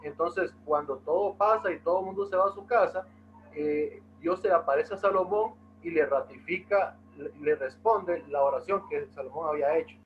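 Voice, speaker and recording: A man's voice: a little high, moderate pace, narrow, tinny audio, soft background music. A man in an online Bible study, earnestly explaining a passage over a video call. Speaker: study participant.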